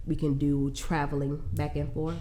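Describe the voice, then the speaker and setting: A woman, chatting candidly as an interview guest, animated and laughing often. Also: sits low, moderate pace, a little hiss or hum. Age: 20s. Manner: animated, laughs often, repeats phrases for emphasis. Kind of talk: candid casual interview chat.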